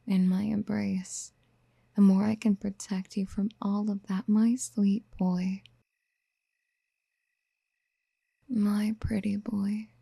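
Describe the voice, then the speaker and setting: Casting: actress as obsessive motherly yandere for ASMR roleplay, very mellow and breathy, slow whispered coaxing, tender and possessive. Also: medium pitch, dry recording. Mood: worried, doting. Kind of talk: soft-spoken ASMR roleplay acting. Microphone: close mic.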